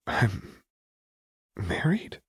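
A man's voice: extremely slow, fairly bright tone, no echo. A male reader plays an earnest young woman of privileged upbringing in an audiobook. She speaks hesitantly, with a bewildered, questioning manner. The recording is dry. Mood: stunned. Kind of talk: audiobook character dialogue.

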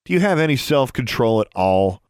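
A man voicing a calm, commanding, sardonic young male wizard in an audiobook, with a patronizing manner. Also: mid-range voice, a little fast, no echo, studio quality, lightly acted. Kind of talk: audiobook character voice.

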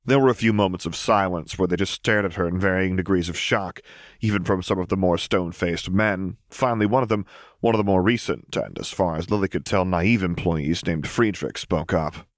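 Low-voiced man reading a story. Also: brisk, no room sound, studio quality. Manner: steady, even narration. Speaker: audiobook reader. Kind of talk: reading a fan novel aloud.

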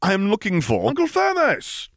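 A man's voice: high voice, huge pitch swings, normal speed, gravelly, a bit bright, no room sound, studio quality, pitched above the performer's own voice, full character voice. A man voicing a gangly, jocular younger academic in an audiobook, chattering in an effusive, slightly flustered way. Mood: excited.

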